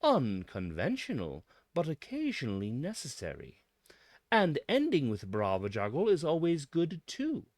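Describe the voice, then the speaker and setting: A man delivering a scripted mock-scholarly monologue in a pompous, deliberate manner with dramatic emphasis. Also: medium pitch, darkish tone, no echo.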